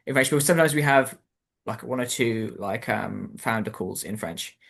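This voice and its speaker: A man's voice: mid-range voice, moderate pace, vocal fry, a bit bright. A man, hosting a casual interview and chatting in a rambling way, full of "like" and "um". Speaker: interview host.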